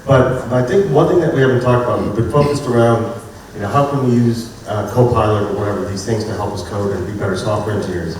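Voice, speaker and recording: A man on a conference panel, speaking in a relaxed, conversational way. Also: lowish voice, quick pace, a little hiss or hum. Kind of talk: panel discussion. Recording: very echoey hall, distant mic, faint ambience.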